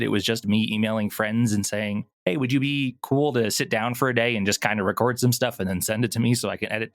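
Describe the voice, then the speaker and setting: A man's voice: fairly low pitch, fairly bright tone, no echo. A man talking casually as an interview guest, explaining things earnestly. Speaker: podcast guest, audio drama producer.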